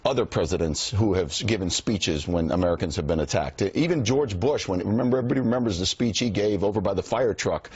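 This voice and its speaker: A low-pitched man with a husky, breathy edge talks on a news panel, stumbling through restarts before landing firm, pointed claims. The sound is dull and lo-fi, like broadcast audio off a TV. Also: animated, a little mellow, no echo. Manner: halting, restarts phrases, then builds emphatic points. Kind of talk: studio panel commentary.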